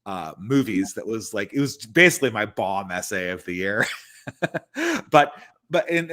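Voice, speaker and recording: Low-voiced rambling male host. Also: a bit bright. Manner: rambling, many restarts. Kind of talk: casual live-stream chat. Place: home.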